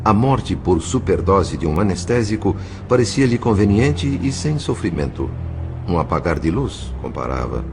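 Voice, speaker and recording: A man with a Brazilian accent playing a grave, compassionate narrator in an audio drama, in measured, literary phrasing. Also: deep voice, big pitch swings, background noise. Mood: grave, concerned.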